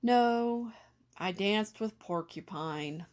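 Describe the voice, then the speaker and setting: A woman's voice: medium pitch, extremely slow, darkish tone. A woman voicing a friendly, concerned male animal neighbor from a storybook, with a matter-of-fact, chatty manner.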